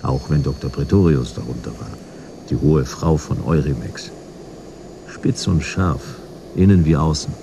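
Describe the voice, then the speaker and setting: An actor playing a laconic, deadpan detective who narrates his own case in a noir radio drama. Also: unhurried. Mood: dry, wry. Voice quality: very deep, gravelly. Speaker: narrator and lead character. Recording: engine noise behind the voice. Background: vehicle engine rumble.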